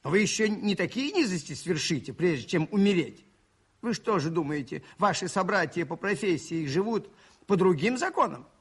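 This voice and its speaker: A gentle priest-detective in a radio drama. His voice sits fairly high and swoops expressively as he moralizes earnestly, with a husky grain. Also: heavily acted. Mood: grave admonishing. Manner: earnest, moralizing, deliberate phrasing with rhetorical repetition. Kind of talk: 1980s radio play acting.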